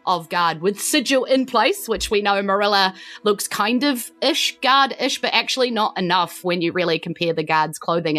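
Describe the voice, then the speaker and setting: A woman's voice: fairly low pitch, a bit bright. A woman hosting and narrating a tabletop role-playing game. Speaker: game master and host of a tabletop RPG podcast. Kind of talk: narrating a tabletop game, part recap, part casual chat.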